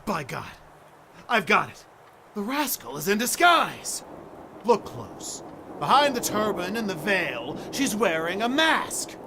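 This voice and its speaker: A man's voice: high-pitched, very animated, takes it slowly, noisy background, heavily acted. An actor playing an irascible, sceptical old man in a radio play, blustering and indignant. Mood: furious accusation. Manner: blustering, indignant, stammering scorn.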